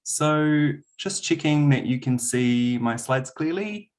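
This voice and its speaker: A man's voice: fairly high pitch, animated, slow. A man with a New Zealand accent giving a friendly university webinar presentation over a video call, with frequent "um" fillers. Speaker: university lecturer.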